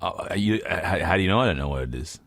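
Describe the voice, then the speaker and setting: A man's voice: sits low, lively intonation, quick pace, vocal fry, fairly bright tone. A man co-hosting a podcast in casual creative discussion, often repeating and rephrasing himself. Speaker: podcast co-host.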